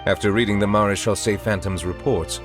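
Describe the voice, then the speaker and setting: A composed, dignified chief judge from a video game, acted by a man. His voice sits low and he speaks formally, with measured, kindly gravity. Music plays clearly behind him. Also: steady tone, natural voice. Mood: earnest.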